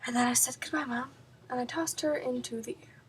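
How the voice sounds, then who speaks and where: A woman's voice: high voice, steady tone, slight background noise, lightly acted. A woman performing a comedic stage monologue as a flustered, wryly self-deprecating grieving daughter, with dramatic swells and drops in her delivery. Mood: horrified, comic. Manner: dramatic, halting emphasis with big swells and drops. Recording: distant mic.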